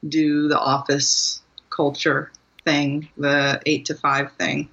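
Bright-voiced woman, lo-fi sound. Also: low-pitched, completely flat delivery, slow pace, vocal fry. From Maryland, USA. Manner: rambling, laughs often. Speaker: guest author. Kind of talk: casual interview talk.

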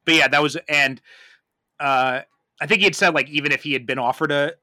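A man's voice: fairly high pitch, steady tone, brisk, bright, forceful tone, no room sound, clean studio sound. A man in casual film discussion as a podcast host, talking in a hesitant, rambling way.

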